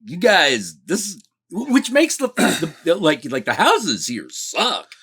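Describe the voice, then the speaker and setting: A man co-hosting a casual review chat, rambling and halting, swearing freely as he goes. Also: high-pitched, huge pitch swings, sharp tone, slightly rough. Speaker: co-host of a movie review vlog.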